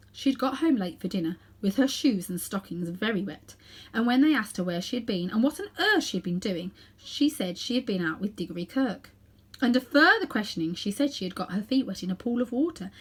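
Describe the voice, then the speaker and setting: A woman reads a children's story aloud in a breathy, slightly husky voice at a middle pitch. Her narration is expressive, leaning on key words and dropping into hushed asides. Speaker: storybook reader.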